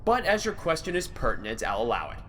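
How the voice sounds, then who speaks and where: A cocky, roguish captain, acted by a man, speaks with lively swings in a mid-pitched voice. He teases with mock formality and easy self-assurance in this audio drama, in a long reverberant space. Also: fast, a little hiss or hum. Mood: breezy confidence.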